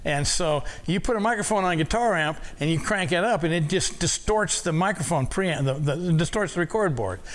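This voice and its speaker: A man's voice: a little high, expressive, brisk, hardly any pauses. Older man telling rambling studio stories. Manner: rambling, many restarts and repeated words. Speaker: audio engineer telling stories. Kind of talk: casual storytelling talk.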